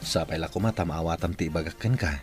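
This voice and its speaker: Deep-voiced gentle father, played by a man. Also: quick pace, soft background music, natural voice. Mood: gentle, consoling. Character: gentle widowed father consoling his young child. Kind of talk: Ilocano radio drama acting.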